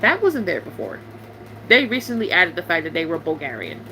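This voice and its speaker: A woman's voice: on the low side, huge pitch swings, brisk, bright, forceful tone, a little hiss or hum. A young woman YouTuber, venting bluntly and sarcastically to her viewers in a casual chat.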